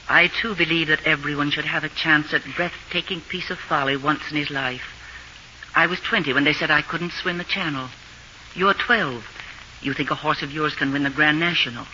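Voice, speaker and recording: A dignified, wise mother in a 1940s radio play. She is firm and measured, with a very sharp, projected tone. The broadcast is band-limited and faintly hissy. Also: low-pitched, dull audio. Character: steady, wise, quietly determined middle-aged mother.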